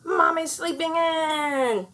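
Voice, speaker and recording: A woman narrating a picture book aloud for children in an expressive, performed style. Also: very high voice, slow pace. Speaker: storybook reader.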